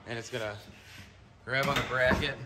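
A man's voice: mid-range voice, steady tone, normal speed. A man casually explaining and asking questions off the cuff as he films with a handheld camera. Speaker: camera operator and interviewer. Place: indoors in a wooden barn workshop. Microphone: handheld camera or phone mic. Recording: noisy handheld recording in workshop.

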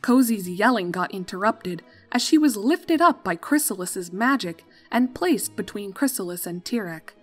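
A woman's voice: mid-range voice, lively intonation, normal speed, hardly any pauses. Woman narrating a story over music.

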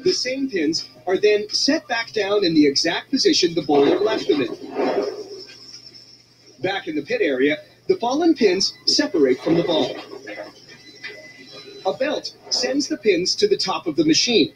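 Narrator guy, kinda gravelly and high, swoops up and down a lot, smooth measured read, music playing behind. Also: wildly swooping pitch, poor audio. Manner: measured and smooth, with sweeping rises in tone. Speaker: documentary narrator. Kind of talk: scripted narration.

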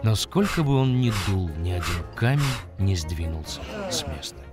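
Animated fairy tale storyteller over music. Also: low voice, moderate pace. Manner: expressive, dramatic storytelling. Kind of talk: scripted children's fairy tale narration. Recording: music behind the voice.

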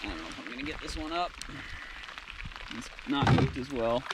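A man's voice: medium pitch, brisk, very noisy. Young man fishing from a canoe. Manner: excited, explains tackle plainly. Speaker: angler in canoe. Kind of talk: casual outdoor chat. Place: canoe on a lake, outdoors. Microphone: action camera on boat.

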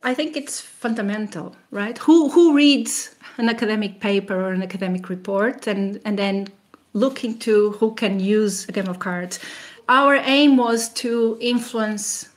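A woman's voice: mid-range voice, a little slow. A woman academic being interviewed, explaining in a conversational way with rhetorical questions and frequent "you know" asides.

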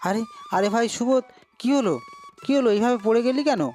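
A man plays a worried village pal in a cartoon, his voice pushed high. He talks with familiar, anxious urgency over faint music. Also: brisk, darkish tone. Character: concerned male village friend, familiar and urgent. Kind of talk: Bengali moral-story cartoon acting.

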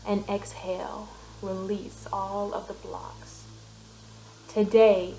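A woman guiding a meditation with affirmations, soothing and deliberate, pausing between short phrases. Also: medium pitch, mellow. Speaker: meditation guide and business coach. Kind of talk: guided meditation with spoken affirmations. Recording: roomy, echoing voice recording. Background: background music.

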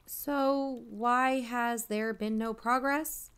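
A woman's voice: fairly high pitch, steady tone, drawn-out words, fairly bright tone, clean tone, exaggerated voice. A woman playing an inquisitive, matter-of-fact podcast investigator in a mock-documentary audio drama. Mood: probing.

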